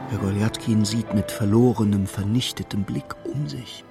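An actor narrating a dark literary radio play as a solemn, measured storyteller. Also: male voice, fairly low pitch, creaky, over music. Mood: grave, urgent.

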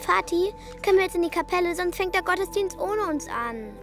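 A woman's voice: very high-pitched, lively intonation, brisk, music in the background. An actress playing an earnest, pious twelve-year-old girl in a radio drama, eager and childlike.

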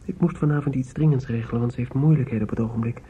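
An actor playing an earnest, respectful young son in a radio drama, speaking hesitantly and deferentially. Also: male voice, medium pitch, rapid-fire, dark tone, natural voice. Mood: nervous, earnest. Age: young adult. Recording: muffled, noisy old radio play recording.

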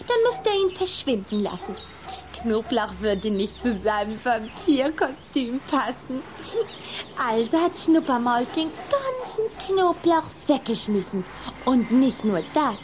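Little vampire girl, high voice, slow delivery, playful, giggly, radio play. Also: very animated, background noise. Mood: eager, gossipy. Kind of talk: German radio play acting.

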